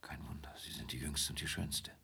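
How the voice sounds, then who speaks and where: An actor playing an earnest, courteous gentleman drifter in a radio play, speaking in a formal, reserved manner. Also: extremely low pitch, fairly quick, creaky, husky, in a whisper, heavily acted. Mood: hushed, discreet. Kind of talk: German radio play acting.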